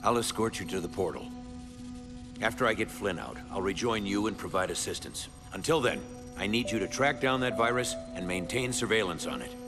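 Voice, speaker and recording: An actor playing a dutiful, serious warrior program in a video game, speaking formally. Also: male voice, on the low side, lively intonation, fairly quick. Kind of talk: video game cutscene acting. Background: game score music.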